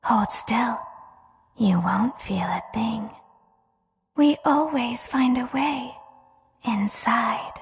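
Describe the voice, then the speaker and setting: A woman voicing an eerie, childlike animatronic clown robot in a horror game, soft-spoken and deliberate, with calm menace. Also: fairly high pitch. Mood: cold, soothing menace. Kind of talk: scripted horror game voice acting. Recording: echoey long hall reverb.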